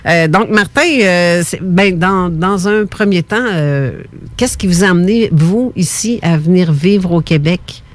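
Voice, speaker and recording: A woman with a Québécois French accent hosts a casual podcast chat. She talks in loose, halting bursts, breaks off and starts her sentences again, and often laughs between them. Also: lowish voice, dry recording. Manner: hesitant, many restarts and laughs. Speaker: podcast host.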